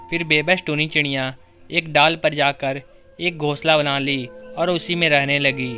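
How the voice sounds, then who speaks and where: Male storyteller over background music. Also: fairly high pitch. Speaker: narrator. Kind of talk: scripted children's story narration.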